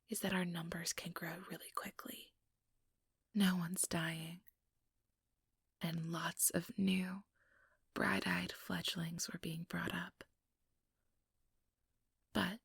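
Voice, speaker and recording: A woman voicing an ambitious, manipulative female vampire in a breathy, measured whisper for an ASMR role-play, close to the microphone. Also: on the low side, fairly bright tone, no echo, heavily acted. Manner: measured, condescending, persuasive.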